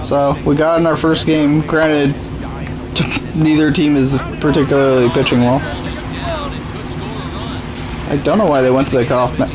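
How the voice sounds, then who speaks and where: A young man with a sore, strained throat, casually commenting on his own baseball game. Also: a little high. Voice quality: voice strained and rough. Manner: laid-back, self-deprecating. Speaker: gaming YouTuber. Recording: noisy home recording.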